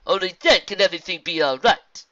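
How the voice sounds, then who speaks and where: A woman voicing a wise, calm zebra sorceress who speaks in deliberate, formal rhymed phrases. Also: on the low side, muffled recording, voice pushed up. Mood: solemn.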